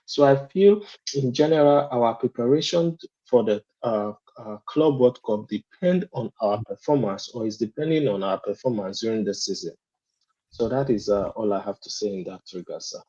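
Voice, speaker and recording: A man with a mellow, husky voice lays out his view at a measured pace, often stopping to ask if he is understood. The sound is dull and lo-fi, like audio from a call. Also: medium pitch. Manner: deliberate, often asks "you understand?". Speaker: guest fan. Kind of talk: opinionated call-in discussion.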